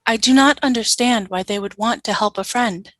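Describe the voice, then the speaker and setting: An obedient female alien drone soldier, played by a woman for a radio drama. She talks in a flat, submissive way, taking everything literally. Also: on the high side, moderate pace, natural voice. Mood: blank, puzzled. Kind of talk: audio drama radio play acting.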